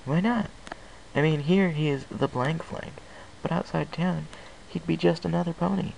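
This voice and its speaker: A man's voice: on the high side, normal speed, gravelly, darkish tone, a little hiss or hum, pitched above the performer's own voice, rough recording. A man voicing a blunt, gossipy pony coworker with a casual, chatty manner in a story reading. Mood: blunt, teasing. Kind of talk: amateur audiobook character voice.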